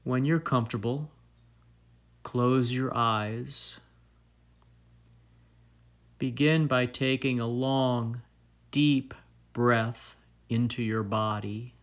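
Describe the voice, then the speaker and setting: A man narrating a guided meditation in a calm, soothing, deliberate way, leaving long pauses. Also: mid-range voice. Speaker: meditation guide and fitness trainer.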